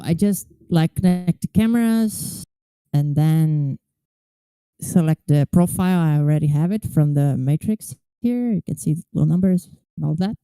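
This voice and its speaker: Brazilian woman with a dark mellow voice. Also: low voice, moderate pace, clear, resonant tone, dry recording. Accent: Brazilian Portuguese. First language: Portuguese. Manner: chatty, many "like" fillers. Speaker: community tester. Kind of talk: casual demo on a call.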